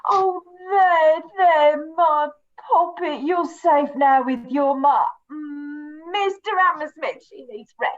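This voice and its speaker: A woman's voice: very high-pitched, animated, slow, fairly bright tone, lo-fi sound, heavily acted. A woman playing a protective, motherly manor housekeeper in a comic murder mystery. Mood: cooing, protective. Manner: cooing, protective.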